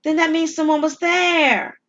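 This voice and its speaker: A woman's voice: sits high, steady tone, a little slow, fairly bright tone, muffled recording, pitched above the performer's own voice. A woman voicing an anxious, alarmed wife who questions and exclaims in an audio drama. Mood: frightened, questioning.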